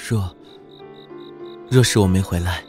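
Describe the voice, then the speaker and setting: A man's voice: sits low, a little slow, a little mellow, soft background music. A man playing an anguished, earnest young prince in a historical audio drama, speaking with emotion. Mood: anxious, despondent.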